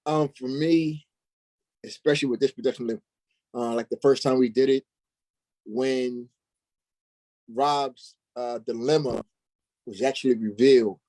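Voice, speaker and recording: A man of average pitch talking casually as an interview guest. He recounts his experiences as a stage actor in a conversational style. The sound is dry, with no room echo. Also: fairly even intonation, unhurried, long pauses, a little mellow.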